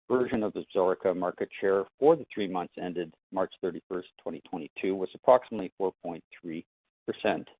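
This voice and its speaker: A man speaking as a finance executive, reading out quarterly figures in a formal, measured way on an earnings call. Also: low voice, no room sound. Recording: dry, band-limited phone line.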